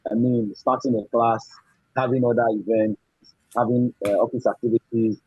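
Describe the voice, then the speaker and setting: Husky Nigerian man, muffled and echoey. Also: medium pitch, steady tone, moderate pace, warm tone. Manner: effusive, earnest, repeats phrases. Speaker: graduating course student. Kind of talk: informal thank-you speech. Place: indoors, online video call. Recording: muffled online call, echoey room.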